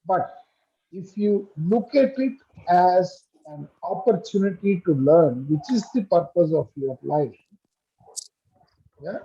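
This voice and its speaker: A man teaching a spiritual study group over a video call, speaking in a measured way and checking in with questions. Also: sits high, animated, mellow, husky, muffled recording. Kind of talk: online study group lecture. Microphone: computer mic on a video call.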